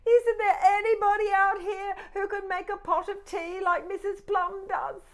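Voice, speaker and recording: A woman's voice: extremely high pitch, fairly even intonation, unhurried, pitched above the performer's own voice. Woman voicing a fussy, plaintive little teapot. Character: fussy female teapot longing for tea.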